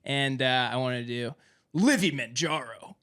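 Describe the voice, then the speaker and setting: A man's voice: mid-range voice, unhurried, fairly bright tone. A young man hosting an interview, chatting casually and explaining things as he goes. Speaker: interviewer, show host. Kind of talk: casual chat, explaining slang.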